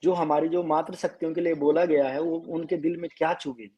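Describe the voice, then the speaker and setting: A man with a Garhwali accent, a folk singer who sings a slow song and then talks earnestly as a guest on a live stream. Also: a little high, little pitch movement, dark tone. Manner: earnest, speaks quickly when explaining. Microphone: live video call. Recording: dull lo-fi live stream audio.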